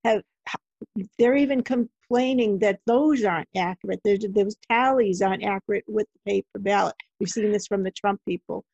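A woman's voice: mid-range voice, moderate pace, a little mellow, breathy edge, dry recording. A woman acting as interviewer, asking questions in a conversational style. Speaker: interviewer, host. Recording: dull, lo-fi, dry audio.

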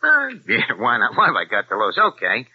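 A man's voice: medium pitch, wildly swooping pitch, brisk, breathy edge, no echo. An actor playing a wisecracking American crook with slangy tough-guy talk and hesitant fillers, in an old radio drama. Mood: wheeling. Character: wisecracking American double-agent crook, streetwise. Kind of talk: 1940s radio acting. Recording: dull old radio recording.

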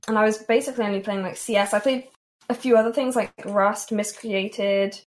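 An eighteen-year-old woman chatting casually as a guest on a stream, relaxed and self-deprecating. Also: mid-range voice, a little fast. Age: young adult.

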